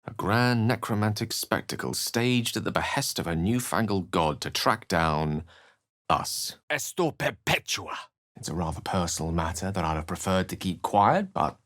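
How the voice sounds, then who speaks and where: An actor playing a learned, courteous and wry wizard with a touch of melancholy, speaking in articulate, theatrical phrases for a video game. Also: male voice, low-pitched, fairly bright tone, dry recording, studio quality. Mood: grave, wry, then incantatory. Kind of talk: video game character lines and narration.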